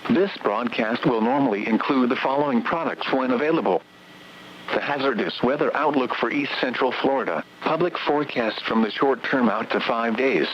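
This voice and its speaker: A man's voice: medium pitch, slight background noise. Synthetic male weather radio announcer, dull sound. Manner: measured synthetic delivery, evenly read. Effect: radio broadcast. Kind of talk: automated scripted weather broadcast.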